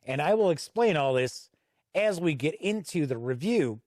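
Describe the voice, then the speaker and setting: A man's voice: fairly high pitch, lively intonation, normal speed, a little mellow, dry recording, studio quality. A man hosting a tabletop gaming channel, giving a chatty, folksy, unscripted product review to camera. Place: indoor home studio.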